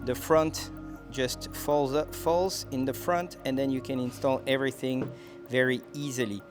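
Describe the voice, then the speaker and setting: A man's voice: medium pitch, steady tone, a little slow, music in the background. A man presenting a product demonstration in a conversational, explanatory way, going through things step by step.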